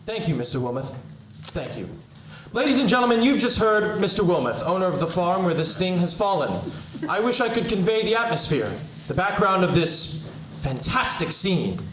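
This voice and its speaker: A man playing an excitable 1930s radio field reporter, giving polite but pressing on-scene commentary full of wonder. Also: high voice, normal speed. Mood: tense, fascinated. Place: live audience performance in a hall. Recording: echoey hall, distant mic, noisy ambience.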